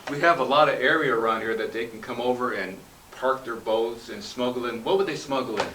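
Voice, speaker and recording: A man's voice: mid-range voice, brisk, bright, forceful tone. An American man chatting casually as an interviewer, with a folksy way of talking. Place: echoey radio studio. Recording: roomy, distant mic, faint background noise.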